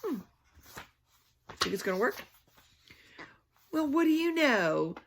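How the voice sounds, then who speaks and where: Woman reading aloud to children, animated. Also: high-pitched, slow pace. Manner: animated, prompting listeners with questions. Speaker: read-aloud storyteller. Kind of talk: expressive children's read-aloud with chatty asides.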